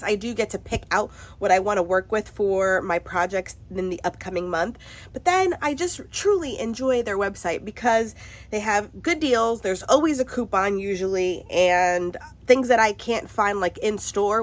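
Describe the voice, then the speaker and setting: A cheerful woman at her home craft table, filmed by an overhead camera, chatting through a haul. She sounds stuffed up from a cold, and her voice is bubbly and chatty, with frequent laughter. Her pitch sits in a middle range. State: congested from a cold. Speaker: craft vlogger. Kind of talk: casual haul video chat.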